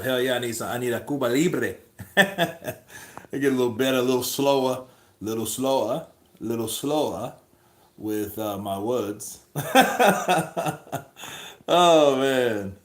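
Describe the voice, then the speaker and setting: A man hosting a boxing livestream, chatting casually in a rambling, profane, animated way. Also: medium pitch, echoey room.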